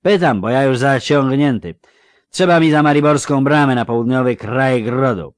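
A man's voice: medium pitch, normal speed, no echo, studio quality, voice pushed up, close to an everyday voice. A man voicing a drunk, boastful young country lad who talks in a slurred rustic dialect. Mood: tipsy.

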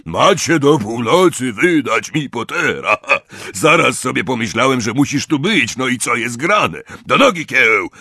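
A man voicing a huge, warm-hearted, boisterous half-giant in an audiobook, roaring and breathy, with excitable, rough colloquial speech. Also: medium pitch, unhurried, no echo, studio quality, voice pushed up, exaggerated voice. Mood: eager, rambling. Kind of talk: audiobook character voice.

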